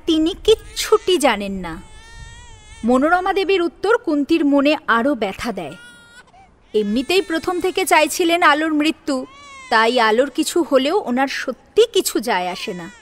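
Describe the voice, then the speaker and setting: High-pitched, animated female storyteller. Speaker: narrator. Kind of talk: dramatic storytelling narration.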